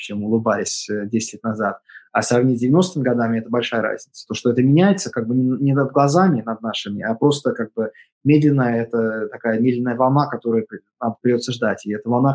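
A man from Latvia giving reflective interview answers, halting with many fillers and restarts. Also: medium pitch, a little mellow, slightly rough. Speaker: interview guest, project author.

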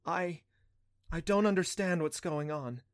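A man's voice: a little high, measured pace, no echo, clean studio sound, pitched above the performer's own voice, natural voice. A man voicing a caring adult mother in a storybook, bewildered and earnest, with a tender, questioning manner. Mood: tender, resolute.

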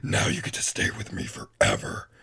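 A man voicing a monstrous, menacing demon hostess in a slow, breathy growling whisper for a spooky story. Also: sharp tone, natural voice.

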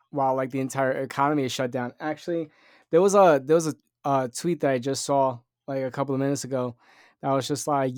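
A man's voice: mid-range voice, no echo, studio quality. A young man hosting a podcast in loose, rambling casual chat, full of fillers. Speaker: podcast host.

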